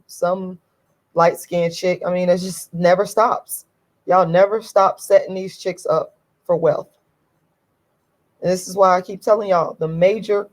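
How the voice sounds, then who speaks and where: A woman with a hoarse, deep voice, talking bluntly and emphatically. She repeats her key points in a casual opinion monologue, and her voice sits fairly low. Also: a little mellow, rough recording. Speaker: YouTube commentator.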